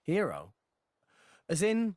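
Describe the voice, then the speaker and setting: A man's voice: high voice, huge pitch swings, slow, a little mellow, no echo, studio quality, exaggerated voice. An actor playing an earnest, determined teenage boy adventurer in a video game cutscene. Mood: puzzled, curious.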